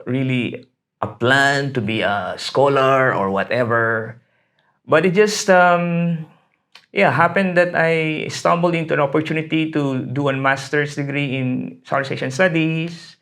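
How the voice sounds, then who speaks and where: A man's voice: mid-range voice, steady tone, slow pace, fairly bright tone. Hesitant Filipino man, middle-aged academic. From Philippines. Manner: hesitant, many fillers and restarts. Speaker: interview guest, history academic. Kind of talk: conversational interview.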